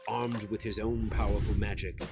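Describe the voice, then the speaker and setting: A man playing a grave, wise storyteller, narrating a fairy tale in a measured, solemn manner. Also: lowish voice, flat delivery, lightly acted. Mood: solemn storytelling. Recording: music behind the voice.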